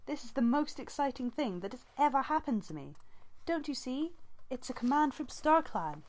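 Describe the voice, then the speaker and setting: Woman reading as a headstrong young she-cat, high and emphatic. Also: expressive, normal speed. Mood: eager, pleading. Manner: emphatic, impassioned. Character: headstrong young female warrior-apprentice cat, defiant and eager. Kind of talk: audiobook character dialogue.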